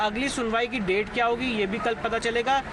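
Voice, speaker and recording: A male lawyer with an Indian accent gives a press statement at breakneck speed. His voice is very high for a man. Outdoor city and vehicle noise is clear behind him. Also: flat delivery, very fast. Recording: noisy outdoor street with traffic.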